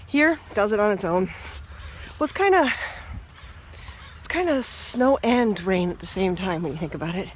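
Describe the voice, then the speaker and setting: A woman's voice: mid-range voice, lively intonation, moderate pace. A woman from Toronto, chatting upbeat to the camera as she walks a snowy city street. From Toronto, Canada. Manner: chatty, upbeat, talking to camera while walking. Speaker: vlogger. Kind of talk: casual walking vlog. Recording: outdoor street and traffic noise. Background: traffic.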